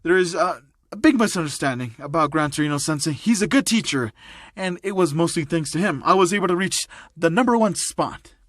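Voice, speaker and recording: A man's voice: a little high, lively intonation, brisk, dry recording, clean studio sound. A man voicing a hearty, reassuring male superhero who works as a teacher, in a story reading.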